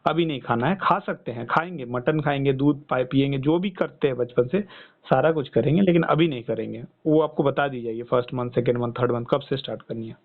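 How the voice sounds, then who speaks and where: A man's voice: mid-range voice, fairly quick. A man giving reassuring health guidance as a coach over a phone call, explaining step by step and often checking with short tag questions. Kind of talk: phone consultation, explaining and advising. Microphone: phone line. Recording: tinny band-limited phone call.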